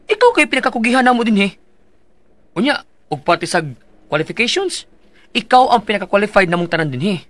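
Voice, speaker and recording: An actor with a Cebuano accent playing an excitable, encouraging male coworker in a radio drama. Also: high voice, a little fast, long pauses, no echo. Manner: animated and encouraging.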